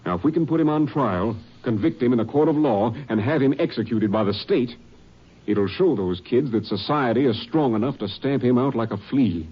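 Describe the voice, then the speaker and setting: Radio drama role: earnest American Rangers captain, stern, husky, mid-pitched, on a muffled old recording. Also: male voice, expressive, normal speed. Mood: grave, earnest. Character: stern, earnest Texas Rangers captain briefing his men. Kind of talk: 1950s radio drama acting.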